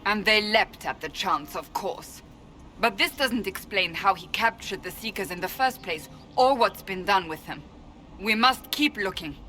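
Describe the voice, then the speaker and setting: A woman's voice: mid-range voice, expressive, a little slow, bright, forceful tone. An actress playing a stern, devout woman warrior in a video game, speaking formally and earnestly. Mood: grave, earnest. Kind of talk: dramatic video game acting. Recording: music behind the voice.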